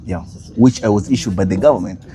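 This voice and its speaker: A man's voice: fairly low pitch, fast talker. Chatty man amid a crowd. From Kenya. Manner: chatty, hesitant, many uh and yeah. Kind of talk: casual street conversation. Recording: crowd noise behind.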